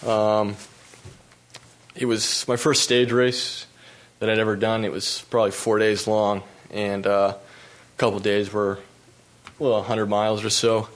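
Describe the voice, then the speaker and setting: A young American man, a pro bike racer, telling stories about his races while showing slides, relaxed and full of fillers, laughing at himself now and then. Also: lowish voice, measured pace. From United States. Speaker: pro cyclist giving a talk. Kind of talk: storytelling presentation with slides.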